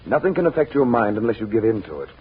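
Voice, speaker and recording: An actor playing a firm, protective brother, reasoning earnestly in a husky voice in 1940s radio style. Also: male voice, fairly low pitch, sing-song, fast talker, full character voice. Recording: tinny 1940s radio recording.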